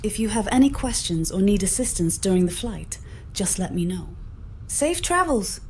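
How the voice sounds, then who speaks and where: A woman's voice: medium pitch, very animated, normal speed, darkish tone, slight background noise. A synthetic woman's voice as a polite, helpful AI spaceship copilot, speaking in formal, encouraging mission-briefing phrases. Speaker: AI copilot assistant.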